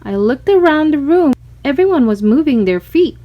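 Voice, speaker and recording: A woman narrating a children's storybook in an expressive read-aloud style. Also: high voice, moderate pace, dull audio.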